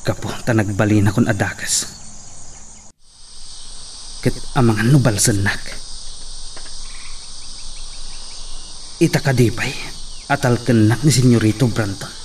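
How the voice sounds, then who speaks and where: A man's voice: on the low side, steady tone, normal speed, long pauses, a little mellow, lightly acted. A man with an Ilocano accent playing a scheming, guilt-ridden man in a radio drama, intense and theatrical. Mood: scheming, uneasy. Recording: slightly distant mic, faint insect noise.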